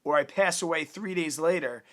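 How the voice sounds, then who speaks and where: A man's voice: on the high side, moderate pace. A man in his thirties giving casual, earnest personal finance advice straight to the camera, plainspoken and given to repeating words as he restarts. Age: 30s.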